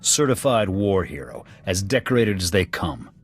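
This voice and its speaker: An actor playing a gruff, proud alien military officer in a video game, with an American accent and a blunt, clipped military delivery. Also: male voice, low voice, sing-song, moderate pace. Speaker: soldier serving as second to his commander. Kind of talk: video game dialogue acting. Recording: background music under the dialogue.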